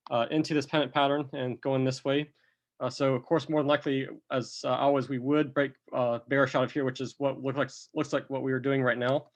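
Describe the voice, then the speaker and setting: Male chart analyst, a bit sick with a cough, middle-pitched, easygoing step-by-step delivery. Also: muffled recording. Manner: unhurried, talks through charts step by step. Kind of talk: screen-recorded chart walkthrough.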